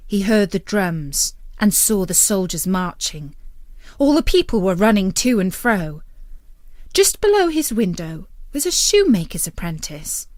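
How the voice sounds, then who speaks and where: Woman reading a fairytale, really lively pitch, takes her time, dry close sound. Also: no room sound. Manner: expressive, deliberate storybook delivery. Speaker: storyteller. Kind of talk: scripted fairytale narration.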